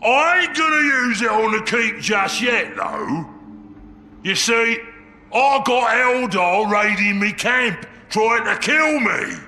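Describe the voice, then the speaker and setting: A man's voice: extremely high pitch, big pitch swings, slow, bright, forceful tone, raised voice, long reverb tail, exaggerated voice. A man voicing a boastful, dim-witted ork warboss with a bellowing, crude delivery for a video game cinematic. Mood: boastful, confiding.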